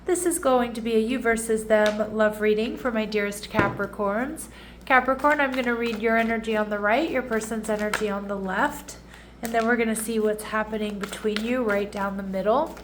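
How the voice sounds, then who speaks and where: A woman's voice: on the high side, fairly even intonation, moderate pace. A woman talking through a tarot reading in an easy, chatty way, her voice a little nasal from congestion. She often restarts and loops back mid-sentence. A faint hum of ambience sits behind her. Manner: chatty, warm asides, frequent restarts. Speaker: tarot reader.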